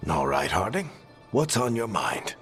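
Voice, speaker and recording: A weathered middle-aged companion from a fantasy game, telling things gravely and dramatically. The voice is very low and unhurried, swinging expressively, with music underneath. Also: male voice, sing-song, fairly bright tone. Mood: weary. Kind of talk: video game dialogue and narration.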